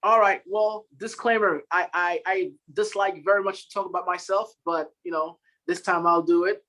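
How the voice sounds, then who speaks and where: A man's voice: high-pitched, steady tone, moderate pace, pushed and bright. A man in his thirties from Haiti, a guest on a podcast, talking casually in an earnest, upbeat way and laughing easily. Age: 30s. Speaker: podcast guest, Marine staff sergeant. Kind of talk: casual interview talk.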